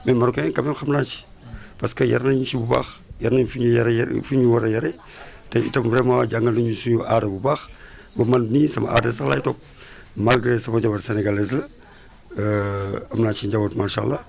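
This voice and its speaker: A man from Senegal answering an interview in a relaxed way, mixing in French words. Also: mid-range voice, fairly quick, gravelly.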